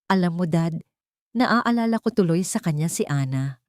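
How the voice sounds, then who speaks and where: A woman's voice: fairly low pitch, animated, normal speed, a little mellow, no room sound, lightly acted. A woman voicing a scheming young female villain in an audio drama, cold, smug and mocking. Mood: menacing contempt.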